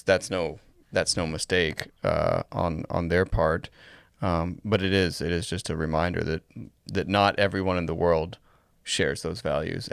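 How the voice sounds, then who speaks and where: A man co-hosting a podcast, giving casual, reflective commentary in measured phrases. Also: low-pitched, creaky, smooth, no room sound, clean studio sound. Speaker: podcast co-host.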